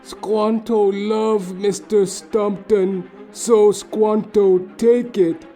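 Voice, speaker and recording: Casting: naive young victim, comic audio play. Very slow, childlike broken English, high mellow voice. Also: male voice, steady tone, soft background music. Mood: plaintive. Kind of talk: comic audio detective drama.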